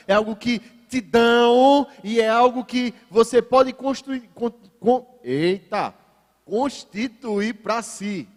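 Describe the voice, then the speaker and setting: A man with a Northeastern Brazilian accent preaching a sermon as a pastor, phrasing deliberately and emphatically with rhetorical repetition. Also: very high-pitched. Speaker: pastor preaching at a church service. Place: large indoor church, preaching to a congregation. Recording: reverberant church hall sound.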